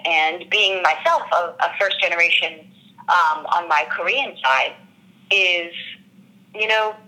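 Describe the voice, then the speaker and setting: 밝고 또렷한 목소리의 여배우, 진지한 인터뷰. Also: female voice, fairly low pitch, slow, pushed and bright, poor audio. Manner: earnest and reflective, searching for words. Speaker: actress discussing her role. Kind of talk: interview answers.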